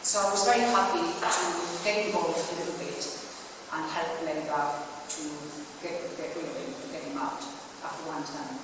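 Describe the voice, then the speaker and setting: A woman's voice: low-pitched, steady tone, moderate pace. Older spanish-accented woman speaking at a public meeting, lots of false starts, roomy hall, mic far off. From Spain. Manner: earnest, with frequent restarts and hesitations. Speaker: political candidate. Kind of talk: campaign speech to a public meeting. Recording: echoey hall, distant mic, background noise.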